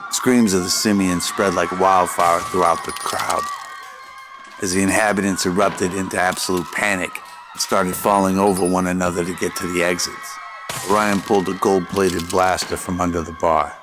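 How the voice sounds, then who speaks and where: A man's voice: low-pitched, little pitch movement, normal speed, a crowd in the background. A man reading scripted narration for a radio drama. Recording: long reverb over faint music.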